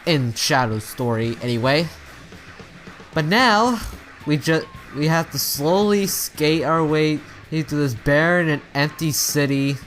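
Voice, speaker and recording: A man's voice: fairly high pitch, expressive, slow pace, fairly bright tone. Man rambling through game commentary over music. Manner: rambling, stumbling over words and rephrasing. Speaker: let's play host. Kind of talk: casual video game commentary.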